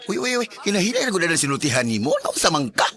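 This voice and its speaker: An actor with a Cebuano Filipino accent playing a blunt, chiding friend in a radio drama. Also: male voice, fairly high pitch, sing-song, racing, pushed and bright, full character voice. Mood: scolding.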